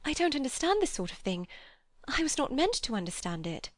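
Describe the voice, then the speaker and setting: A woman's voice: high-pitched, sing-song, brisk, no room sound, voice pushed up. A woman voicing a proper young woman who sounds flustered and agitated. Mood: distressed. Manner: agitated, rising.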